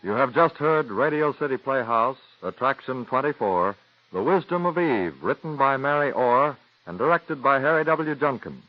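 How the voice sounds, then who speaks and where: A man announcing formally for a radio network from a studio, crisp and measured. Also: mid-range voice, lively intonation, no echo. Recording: dry, dull 1940s radio broadcast.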